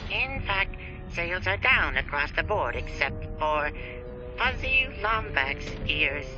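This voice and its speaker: A muffled recording with music behind a timid office underling. His voice sits fairly high, hesitant and deferential, in cartoon game style. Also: big pitch swings, slow pace. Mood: nervous, deferential. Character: timid, nervous corporate underling reporting to his boss. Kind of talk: cartoonish video game acting.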